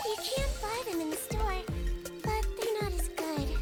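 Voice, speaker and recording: A woman's voice: very high-pitched, measured pace. A woman voicing a cute, cheerful little girl creature in a game trailer, bubbly, sing-song and childlike. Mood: cheerful, helpful. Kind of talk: scripted game trailer voice acting. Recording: playful music over the voice. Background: tinkly children's music.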